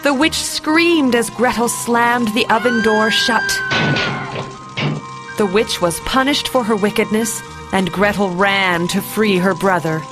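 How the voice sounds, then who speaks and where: A woman storyteller reading a scripted bedtime fairy tale for children in a measured, expressive way. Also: medium pitch, over music.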